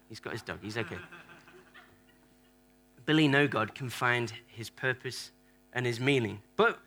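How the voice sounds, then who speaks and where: A man's voice: mid-range voice, sharp tone. A man preaching a playful sermon in a church, acting out different church members and joking with the congregation. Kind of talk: sermon with audience props. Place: church hall.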